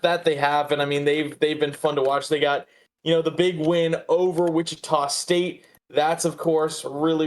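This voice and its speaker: Higher-voiced man talking sports casually. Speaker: sports podcast host. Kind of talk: casual sports commentary and analysis.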